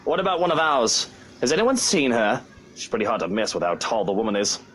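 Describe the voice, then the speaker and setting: A man's voice: medium pitch, lively intonation, fairly quick, fairly bright tone, slight background noise, close to an everyday voice. A man voicing a grumpy, sardonic, put-upon uncle in a visual novel game, with theatrical delivery. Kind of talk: visual novel character voice acting.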